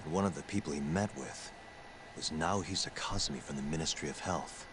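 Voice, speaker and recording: Low-voiced probing male detective. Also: a little fast, background noise. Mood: pondering. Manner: blunt, questioning. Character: probing, cool-headed adult male detective.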